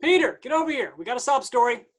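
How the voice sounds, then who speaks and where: A woman's voice: high-pitched, very animated, fast talker. Woman acting a vain, offhand slacker messiah. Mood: smug. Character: vain, slangy, bored slacker messiah, about thirty, male. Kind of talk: staged play reading.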